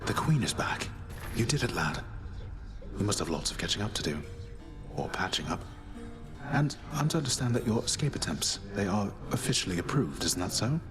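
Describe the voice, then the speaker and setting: A wise older warrior mentor in a video game, with a low, deep voice. He speaks formally and graciously, measured and fatherly, with music clearly playing behind him. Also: very animated. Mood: warm, formal. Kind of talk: video game character dialogue.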